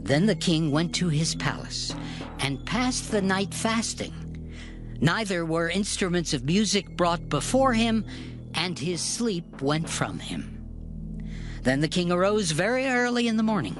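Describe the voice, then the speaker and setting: A man narrating a dramatized scripture reading in a measured, solemn manner. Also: sits high.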